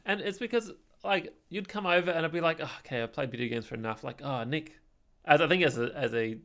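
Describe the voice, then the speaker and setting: Young male podcast co-host, slightly high voice, loose conversational storytelling with halting phrasing. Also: gravelly, fairly bright tone. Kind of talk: casual chat, storytelling.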